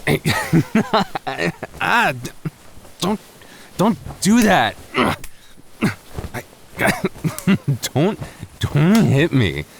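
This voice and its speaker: A man's voice: a little high, sing-song, extremely slow, creaky, long pauses, a little hiss or hum, heavily acted. A young man acting a tipsy, flustered roommate hiding a crush in an intimate boyfriend roleplay, stammering and defensive in a conversational way. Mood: playful protest.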